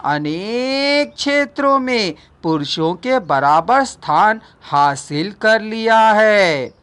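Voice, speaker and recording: A woman narrating a non-fiction book as a scripted reading, deliberate and formal, pausing between phrases. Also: medium pitch, a bit bright. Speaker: audiobook narrator.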